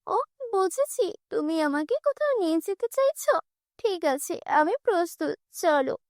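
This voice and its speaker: A gentle, kind young cartoon heroine, voiced by a woman with a very high, bright voice. She speaks softly and earnestly in a dry studio recording. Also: big pitch swings, normal speed, dry recording, exaggerated voice. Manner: soft, earnest. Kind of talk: cartoon dubbing.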